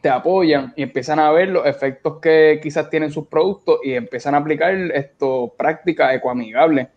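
A man's voice: fairly high pitch, darkish tone. A young man with a Puerto Rican accent chatting informally as an interview guest over a video call. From Puerto Rico. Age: young adult. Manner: informal, colloquial. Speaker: guest, beach cleanup organizer. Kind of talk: casual interview conversation. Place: indoor room on a video call. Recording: dull, lo-fi video call audio.

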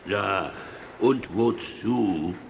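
An actor playing a naive, good-natured, slow-witted henchman creature in a children's audio drama, hesitant, questioning and repeating himself. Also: lowish voice, vocal fry, slight background noise, full character voice. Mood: puzzled, agreeable.

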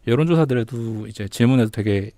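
Mellow, careful male data analyst. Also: medium pitch, no room sound. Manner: careful, hedging, measured. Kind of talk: explanatory podcast talk.

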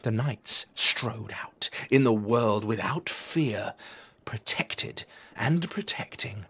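A man reading a children's story aloud in a deliberate, theatrical storytelling manner. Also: lowish voice, animated, bright, forceful tone, breathy edge, dry recording. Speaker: storybook reader. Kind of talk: expressive children's story narration.

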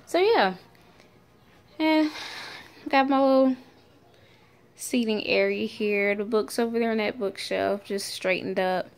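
A woman talks at an unhurried pace. Her pitch sits in the middle range, and she chats in an informal, folksy way, as if updating followers on a home vlog recorded on a phone. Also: clean tone. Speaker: family vlogger. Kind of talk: casual chat to camera. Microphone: phone camera at arm's length.

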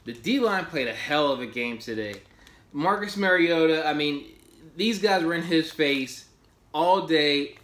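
A man's voice: a little high, echoey room. A man in his thirties talking casually and enthusiastically as a fan reviewing a game, filmed at his desk in a small home office. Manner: enthusiastic, animated, gestures while talking. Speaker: sports podcast host.

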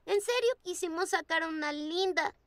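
A woman's voice: very high voice, moderate pace, fairly bright tone, no room sound. A woman voicing an earnest, bossy big-sister bunny girl in a children's cartoon dub. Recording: music behind the voice.

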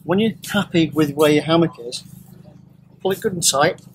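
A man's voice: fairly high pitch, moderate pace, slight background noise, low-quality recording. A middle-aged man casually demonstrating a hammock setup in woodland, talking in a hesitant way with many ums and restarts. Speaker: bushcraft show co-host. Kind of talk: hands-on outdoor demonstration. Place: outdoors in woodland by a tarp shelter.

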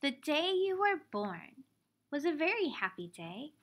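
A woman in her thirties reading a children's picture book aloud like a teacher, expressively, pausing to explain things simply for young children. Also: high voice, big pitch swings, a bit bright. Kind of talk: children's storybook read-aloud.

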